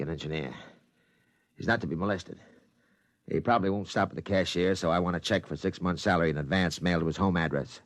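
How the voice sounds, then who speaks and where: A man doing a ruthless executive, low voice, clipped, dry studio sound. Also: no echo, natural voice. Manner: curt, domineering, clipped. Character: ruthless cold male business executive. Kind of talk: 1950s radio drama acting.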